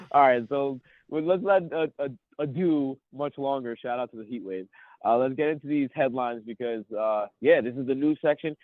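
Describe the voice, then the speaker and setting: A man in his thirties with a cold, chatting as a podcast co-host and rambling excitedly through his stories. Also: medium pitch, normal speed, no echo. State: sniffly from a cold. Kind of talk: casual chat. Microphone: phone. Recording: tinny phone line.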